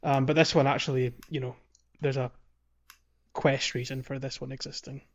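A man's voice: medium pitch, flat delivery, moderate pace, highs cut off. A man giving casual, chatty gaming commentary as a podcast host, telling things informally.

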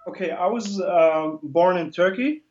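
A man's voice: sits high, measured pace, muffled recording, over loud music. A man in his forties who grew up in Germany, a guest talking casually and self-deprecatingly, full of uhs. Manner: self-deprecating, hesitant, many fillers. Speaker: interview guest, comic illustrator. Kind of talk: casual interview chat.

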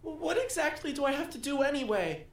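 Woman playing a high-pitched, anxious young boy. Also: animated, fairly quick, distant mic. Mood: reluctant. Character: timid anxious twelve-year-old boy. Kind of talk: audio drama acting. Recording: roomy, off-mic student audio drama.

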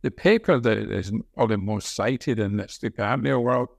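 Older male interviewee, Scottish accent, lowish voice, halting conversational delivery, dry close recording. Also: creaky, no echo. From Scotland. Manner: halting, repeats words as he talks. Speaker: interview guest, senior medical professor and researcher. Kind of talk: conversational interview answers, reminiscing.

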